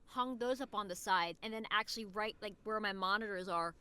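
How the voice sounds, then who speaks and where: A woman's voice: mid-range voice, a little fast, bright, forceful tone, clean tone. A woman voice actor chatting casually as a guest in a podcast interview.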